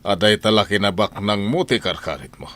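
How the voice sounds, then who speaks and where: A man's voice: lowish voice, lively intonation, a little fast, a bit bright, no room sound. A man playing an exasperated older father in a radio drama. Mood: exasperated, scolding. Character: exasperated older father scolding his wife over money.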